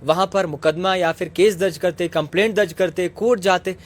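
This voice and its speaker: A male interviewer with a somewhat high voice. He questions persistently, challenging the person on the other end of a phone interview. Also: steady tone, a little fast.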